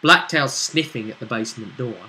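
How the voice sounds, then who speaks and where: A man's voice: medium pitch, a little fast, sharp tone, slight background noise, rough recording. A man hosting a casual recap of a tabletop roleplaying session, telling it in a conversational storytelling way. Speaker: host and game master recapping a tabletop session.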